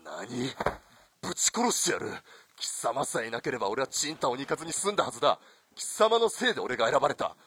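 An actor playing a cruel, abusive army soldier in a radio drama, menacing and domineering, with rough old-fashioned military speech. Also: male voice, mid-range voice, very animated, quick pace, a bit bright. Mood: enraged.

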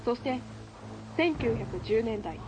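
A woman giving scripted historical narration in a measured documentary way. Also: on the high side, expressive, music in the background, low-quality recording.

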